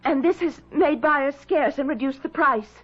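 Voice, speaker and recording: A woman's voice: high voice, moderate pace, natural voice. An actress playing a shrewd young colonial woman in a 1970s radio drama, speaking in a grand, coaxing, scornful manner. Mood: brisk, sly coaxing. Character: shrewd, theatrical young colonial woman pushing a house sale. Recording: dull vintage radio recording.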